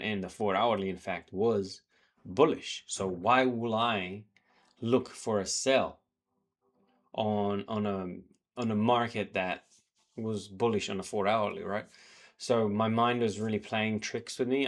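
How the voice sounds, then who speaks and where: A man slightly sick, talking casually through his trading journal and charts, rambling with many fillers and restarts. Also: on the low side. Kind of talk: casual talk to camera, reviewing charts. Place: home in New Zealand.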